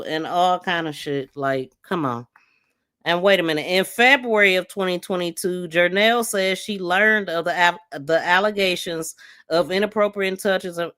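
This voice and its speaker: Slow-paced, scornful woman podcaster. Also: fairly low pitch, a bit bright, clear, resonant tone. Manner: scornful, exasperated, blunt, swearing; emphatic, repeats phrases mockingly. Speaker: crime podcast host. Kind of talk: casual live commentary on played audio.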